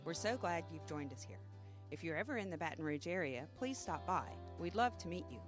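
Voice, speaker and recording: A woman with a deep, low voice reads a friendly, welcoming podcast introduction. Instrumental music plays loudly behind her. Her read is scripted. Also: animated, fairly quick. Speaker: podcast announcer. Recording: voice over loud background music.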